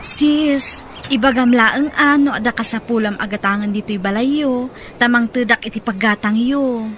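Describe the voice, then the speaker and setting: Brazen high-voiced Ilocano mistress, acted by a woman. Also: normal speed, over music, lightly acted. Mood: condescending. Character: brazen, falsely sweet mistress moving into the house. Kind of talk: Ilocano radio drama acting.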